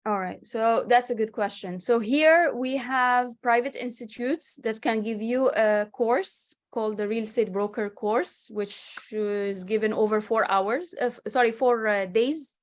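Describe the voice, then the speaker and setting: A woman's voice: fairly high pitch, measured pace, hardly any pauses. A woman, answering interview questions over a video call in a chatty, explanatory way with many restarts. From Morocco. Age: 30s. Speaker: guest real estate agent. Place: home with a city skyline behind.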